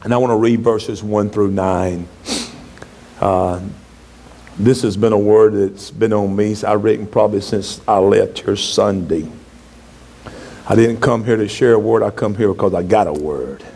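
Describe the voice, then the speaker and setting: A middle-aged man preaching a sermon from a church stage, his voice hoarse and partly gone. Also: on the low side, fairly even intonation, measured pace. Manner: fervent, rising to shouts, gesturing widely. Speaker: pastor. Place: church stage, large hall.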